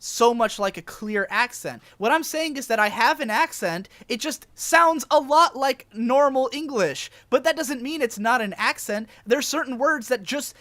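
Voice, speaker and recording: A man's voice: very high voice, lively intonation, moderate pace, few pauses, bright, forceful tone, no echo. A young man with a mild Southern American accent, co-hosting a casual podcast and earnestly explaining his point at length. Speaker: podcast co-host. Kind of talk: casual group podcast argument.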